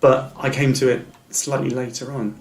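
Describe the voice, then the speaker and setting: A middle-aged business advisor who stammers, giving a measured, thoughtful interview answer with small blocks and repeats. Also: male voice, mid-range voice, level tone, reverberant, soft background music.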